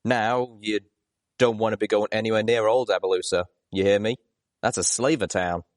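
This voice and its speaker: A man's voice: fairly low pitch, steady tone, normal speed, no room sound, clean studio sound, natural voice. A man voicing a folksy, teasing, loyal cowboy pony with drawling country talk, in a storybook reading. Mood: serious warning.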